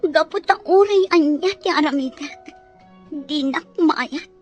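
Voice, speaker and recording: A woman acting an imperious, bitter, jealous wife in a melodrama, with a Filipino accent and a sharp, demanding manner. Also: sits high, unhurried, muffled recording, soft background music. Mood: bitter, pleading.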